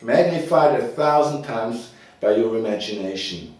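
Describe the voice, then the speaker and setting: A man's voice: mid-range voice, expressive, moderate pace, darkish tone, voice pushed down, close to an everyday voice. A man performing a stage monologue as a self-assured, well-meaning young fellow, folksy and a bit self-important as he gives advice. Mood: earnest, encouraging. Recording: echoey room, distant mic, noise.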